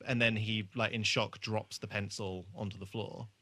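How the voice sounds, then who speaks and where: A man's voice: lowish voice, little pitch movement, moderate pace, sharp tone. A man co-hosting a podcast in casual chat, talking in a hesitant, conversational way with trailing thoughts. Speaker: podcast co-host. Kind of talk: casual chat, TV re-watch discussion.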